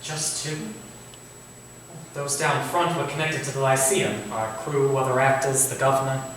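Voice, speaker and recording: Guy doing a polite wistful victorian author, stage reading, mid pitch, lots of echo and kinda far away. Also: flat delivery, unhurried, fairly bright tone, close to an everyday voice. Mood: earnest, courteous. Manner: polite, restrained, formal. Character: earnest, courteous, wistful Victorian gentleman writer. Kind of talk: theatrical staged play reading. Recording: echoey hall from far off, faint noise.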